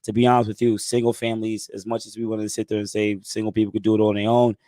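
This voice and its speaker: A man in his thirties hosting a casual live stream Q&A, talking in a laid-back, conversational way, his voice a bit hoarse as he gets it back. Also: lowish voice, level tone, fast, dark tone, dry recording. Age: 30s. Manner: laid-back, conversational, frequent "you know what I'm saying". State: hoarse, recovering lost voice. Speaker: channel host answering live chat.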